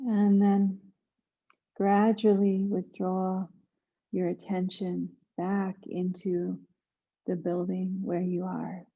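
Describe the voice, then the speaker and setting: A woman guiding a yoga nidra meditation in a hushed, soothing manner, leaving long pauses between instructions. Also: on the low side, monotone, narrow, tinny audio. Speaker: meditation guide.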